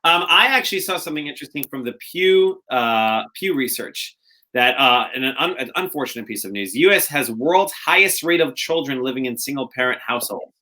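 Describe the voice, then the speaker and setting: A man's voice: medium pitch, lively intonation, brisk, bright, forceful tone. A young man co-hosting a podcast, chatting casually with rambling, joking asides and frequent restarts. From America, later lived in Israel. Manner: rambling, joking, self-interrupting. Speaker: podcast co-host. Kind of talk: casual chat.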